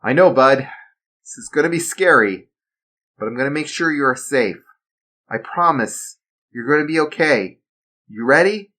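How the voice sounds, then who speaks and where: A man voicing a retired assassin father, steady and blunt, protective of his son. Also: a little high, moderate pace, pitched above the performer's own voice. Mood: reassuring. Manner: reassuring to his son, defiant to enemies. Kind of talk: amateur storytelling.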